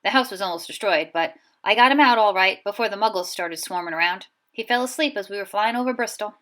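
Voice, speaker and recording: A woman voicing a huge, gentle male giant who stammers and sobs. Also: mid-range voice, quick pace, lightly acted. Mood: earnest. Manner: sobbing, stammering.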